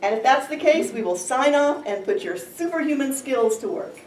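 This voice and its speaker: A woman's voice: sits high, animated, moderate pace. A woman in her sixties presenting, reading a script aloud to a class in a large room. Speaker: workshop presenter. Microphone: camera a few meters away. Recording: echoey room, far from mic, noisy.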